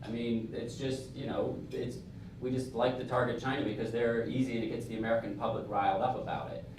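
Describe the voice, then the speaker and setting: A man's voice: mid-range voice, flat delivery, brisk. A man, a dean hosting a panel discussion, making his points emphatically with frequent asides. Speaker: business school dean, host. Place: event venue with audience. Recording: very echoey, distant mic, room noise.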